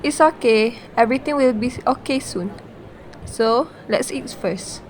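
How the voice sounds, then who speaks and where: A woman playing a supportive, sympathetic best friend in an amateur radio drama, gentle and consoling. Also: a little high, a little slow, slight background noise, lightly acted.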